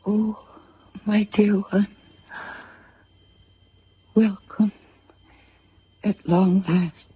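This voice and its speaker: A woman acting a gentle, earnest, bewildered young woman in an old-time radio drama, with theatrical delivery. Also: mid-range voice, steady tone, drawn-out words, pauses often, breathy edge, heavily acted. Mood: tender. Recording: muffled vintage radio drama recording. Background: music.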